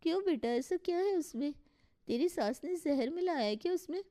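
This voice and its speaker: Cartoon mother, played by a woman: middle-aged, stubborn, querulous, high and soft-toned. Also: expressive, moderate pace. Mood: stubborn, puzzled. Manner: querulous, dramatic.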